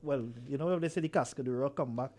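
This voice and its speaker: A man's voice: medium pitch, fast, a little mellow. A man, an attorney guest on a radio show, explaining procedures with many restarts and fillers. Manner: explanatory, frequent restarts and filler, chuckling anecdotes. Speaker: immigration attorney, studio guest. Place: radio studio. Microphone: studio microphone, headphones.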